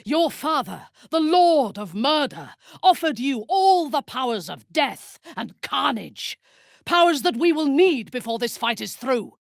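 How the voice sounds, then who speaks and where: An actress playing a haughty, ruthless dark elf warrior in a video game, speaking in an imperious, measured, menacing way. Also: female voice, high-pitched, very animated, gravelly, dry recording, clean studio sound, exaggerated voice. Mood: stern, urgent. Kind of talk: video game character voice lines.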